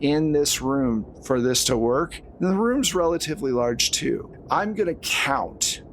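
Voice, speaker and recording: A man's voice: on the high side, lively intonation, unhurried, a little hiss or hum. A man narrating a horror tabletop game as game master, in a casual, conversational storytelling way.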